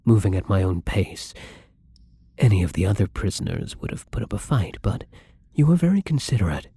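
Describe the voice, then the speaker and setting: A man acts out a tender male vampire in an intimate ASMR roleplay. His voice is very husky and breathy, sitting fairly low with a mellow, dark tone. He speaks softly and caringly, as if to someone close by. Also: sing-song, brisk, full character voice. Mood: earnest, apologetic. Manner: soft-spoken, tender, breathy.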